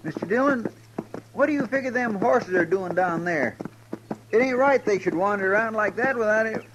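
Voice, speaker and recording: Folksy cowboy sidekick, highish voice, simple n loyal, old radio show w noise and hooves. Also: male voice, expressive, moderate pace, dull audio. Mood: puzzled, uneasy. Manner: respectful, hesitant, homespun. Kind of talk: 1950s radio western acting. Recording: noisy vintage radio recording, horse hoof effects.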